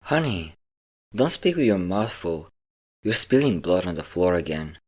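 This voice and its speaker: Man playing a low-voiced, possessive vampire lover. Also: big pitch swings, a little slow, full character voice. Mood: doting, possessive. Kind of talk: intimate scripted audio roleplay addressed to a listener.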